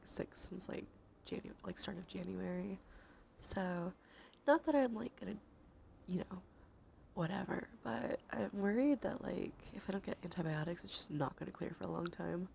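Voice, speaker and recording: A woman with a stuffy nose doing a cozy, gentle ASMR stream, chatting casually in a soft, breathy voice that often drops into whispers. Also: medium pitch, unhurried, long pauses, slight background noise. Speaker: livestreamer hosting an ASMR stream. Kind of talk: cozy ASMR, casual chat while gaming. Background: soft ambient soundscape.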